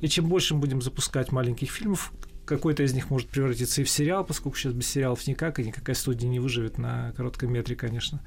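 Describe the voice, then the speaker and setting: A man with a medium-pitched voice and a rapid pace explains his point in an interview. He sounds assured and businesslike, setting things out matter-of-factly. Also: fairly even intonation, a little mellow. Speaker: interview guest, animation studio head.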